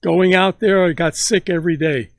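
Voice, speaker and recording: A man in his nineties telling stories from his youth to his grandson, slow and halting, often repeating words as he begins a sentence. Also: sits high, no echo. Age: 90s. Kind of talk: casual storytelling interview.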